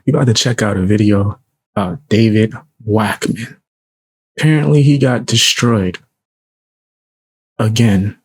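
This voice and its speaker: Laid-back low-voiced man streaming. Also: measured pace, pauses often, a little mellow, husky, dry recording, rough recording. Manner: laid-back, slangy, chuckling asides. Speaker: live-streaming commentator. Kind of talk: casual reaction commentary.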